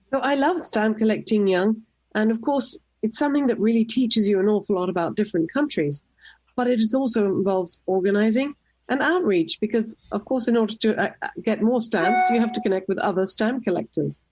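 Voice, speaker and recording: A middle-aged woman from Tunisia, a nonprofit director, giving measured, composed answers in a radio interview. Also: mid-range voice, darkish tone, breathy edge. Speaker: guest, nonprofit executive director.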